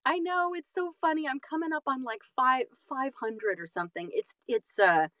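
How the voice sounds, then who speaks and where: A woman's voice: high-pitched, normal speed, a bit bright, no echo. A woman telling stories casually as an interview guest, self-deprecating and emphatic in manner. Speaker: guest, audiobook narrator and actress.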